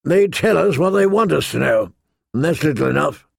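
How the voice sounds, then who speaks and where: A man voicing a gruff, grumbling old male military commander who sounds weary and disdainful. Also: on the high side, expressive, normal speed, no echo, clean studio sound, exaggerated voice.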